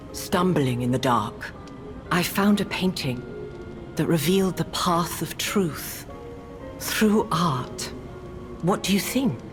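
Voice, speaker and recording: A woman playing a haughty, obsessive blind artist in a video game, speaking with grave, deliberate authority. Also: fairly low pitch.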